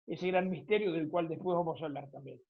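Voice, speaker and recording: A woman with an Argentine accent and a husky voice, hosting an interview in a discursive, conversational way. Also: deep voice, a little fast. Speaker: interviewer, radio programme host. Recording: thin phone-like audio.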